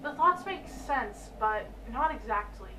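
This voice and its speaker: A woman's voice: on the high side, lively intonation, unhurried. A woman performing scripted lines as readers' theater. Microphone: distant microphone. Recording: echoey, distant, loud crowd noise behind.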